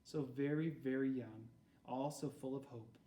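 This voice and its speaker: A man voicing a gentle, grieving storybook mother who explains things sorrowfully. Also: mid-range voice, steady tone, a little slow, natural voice. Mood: wistful. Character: grieving, gentle mother recalling lost young people. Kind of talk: read-aloud storybook character. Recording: roomy echo, far from mic.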